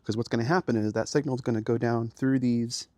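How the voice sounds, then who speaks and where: A man's voice: medium pitch, steady tone, a little fast, mellow, no room sound, clean studio sound. A man giving a casual walk-through tour as host, explaining things in a plain-spoken way. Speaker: host, amateur radio hobbyist. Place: outdoors by a house, then garage and small radio room.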